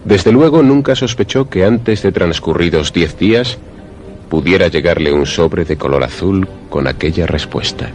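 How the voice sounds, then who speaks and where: A man with an extremely deep voice narrates a suspense radio play. His delivery is measured and theatrical, with clearly audible music behind him. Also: a little mellow.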